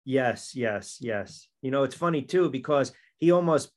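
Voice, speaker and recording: A man's voice: mid-range voice, lively intonation, unhurried. A man hosting a sports podcast, conversational, asking long leading questions. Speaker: podcast host. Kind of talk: sports talk interview.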